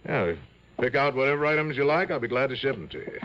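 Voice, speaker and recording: Casting: male actor as smooth, salesmanlike crook in his fifties, medium pitch, dull vintage radio sound. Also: expressive, fast talker, slight background noise, close to an everyday voice. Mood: smooth, businesslike. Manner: genial, salesmanlike. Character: smooth, obliging fifty-ish male crooked drug importer. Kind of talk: 1950s-60s radio drama acting. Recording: dull old radio broadcast recording.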